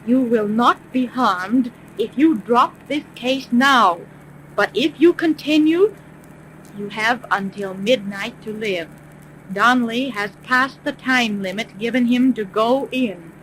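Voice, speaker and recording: A woman's voice: fairly high pitch, slow pace, fairly bright tone. An actress playing a decisive woman private detective in a 1930s radio serial, with a dramatic, urgent manner. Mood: urgent, excited. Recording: noisy 1930s radio recording.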